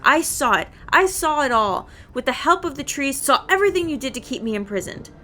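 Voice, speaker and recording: A woman's voice: sits high, expressive, fairly quick, pushed and bright, pitched above the performer's own voice. A woman voicing a bitter, vengeful ancient spirit in a little girl's form, accusing and dramatic, for a video game. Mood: bitter, furious. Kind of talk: video game character dialogue.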